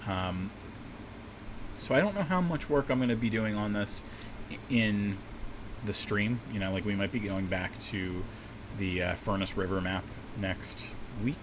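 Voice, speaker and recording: A man with a low, slightly scratchy voice rambles casually through a livestream, trailing off and restarting his sentences. A steady air-conditioner hum sits clearly under his voice. He sounds like he is at a small desk at home. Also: unhurried, long pauses. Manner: rambling, relaxed, many fillers and restarts. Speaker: livestream host and map maker. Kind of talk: casual livestream chat while working.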